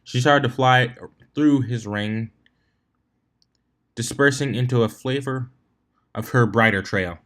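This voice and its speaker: A man reading fan fiction aloud as an amateur narrator, expressive with the odd stumble. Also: medium pitch, slow pace, long pauses. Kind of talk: amateur scripted story narration.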